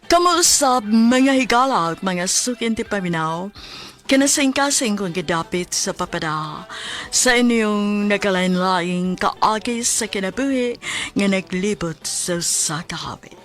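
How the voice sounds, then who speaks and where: Woman, Cebuano accent, radio drama announcer, slow scripted delivery, mid pitch, music bed. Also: expressive, fairly bright tone. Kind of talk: scripted narration.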